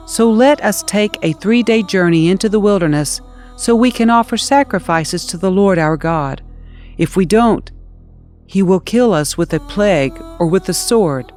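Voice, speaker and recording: A woman's voice: mid-range voice, animated, normal speed, darkish tone, soft background music, natural voice. A woman voicing a bold, earnest male Hebrew prophet speaking insistently in a dramatized scripture reading. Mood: solemn, insistent.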